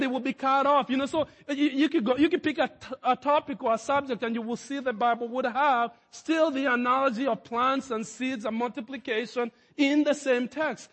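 High-voiced pastor preaching in a reverberant hall. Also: male voice, fairly bright tone. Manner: halting, repeats words, rises to emphatic peaks. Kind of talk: sermon.

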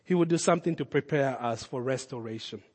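A man with a Kenyan accent, a pastor giving a sermon, swinging from loud, emphatic calls to low, earnest asides. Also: quick pace, big-room sound. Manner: impassioned preaching, rising loud then dropping low and intimate.

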